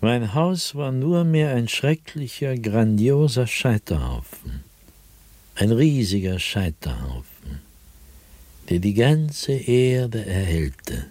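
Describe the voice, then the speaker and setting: A man's voice: medium pitch, big pitch swings, a little mellow, no echo. An actor playing a terrified man descending into madness, delivering a theatrical, fervent diary monologue in a radio play. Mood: grim awe. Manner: haunted, theatrical, fervent, with dramatic pauses and whispers.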